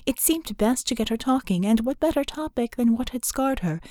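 A woman's voice: a little high, animated, normal speed, mellow, no room sound, clean studio sound. A woman reading scripted fiction as an audiobook narrator.

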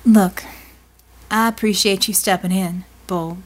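A tough woman bartender from an audiobook story, as a woman narrator plays her. She is a proud, wounded ex-soldier with a middling pitch and a sardonic, defiant edge. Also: steady tone, measured pace, lightly acted. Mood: wry gratitude.

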